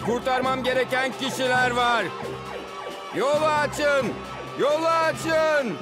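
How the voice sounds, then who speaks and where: Casting: commanding cartoon fire engine, very high for a male voice, loud urgent calls, music and siren behind. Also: fairly even intonation, takes it slowly. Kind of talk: animated children's cartoon dub.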